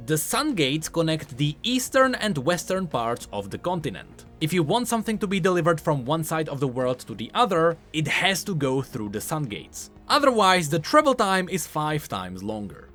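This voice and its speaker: Male video creator, emphatic commentary, highly varied melody, explanatory, music bed underneath. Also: big pitch swings, moderate pace, hardly any pauses, fairly bright tone.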